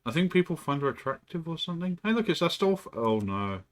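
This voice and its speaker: A man streaming from home, chatting casually and rambling, who often clears his throat and swears. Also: on the high side, big pitch swings, quick pace. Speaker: live streamer. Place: indoors at home.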